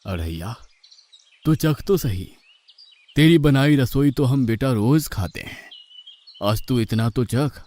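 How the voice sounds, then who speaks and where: A man's voice: medium pitch, expressive, slow, pauses often, a little mellow. A man voicing a kindly, indulgent god in human form in a cartoon, coaxing and instructing patiently. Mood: gently coaxing. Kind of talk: Hindi cartoon mythological acting.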